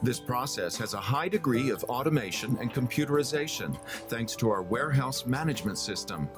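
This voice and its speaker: Promo voice-over man, lively pitch, mid voice, music bed under. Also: fairly quick. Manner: promotional, polished. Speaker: corporate video narrator. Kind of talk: scripted narration.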